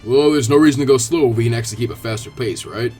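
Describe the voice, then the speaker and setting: A man reading the part of a calm adult ninja squad leader in a story, easygoing, measured and instructive. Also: medium pitch, slightly rough, soft background music, voice pushed down, natural voice. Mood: friendly.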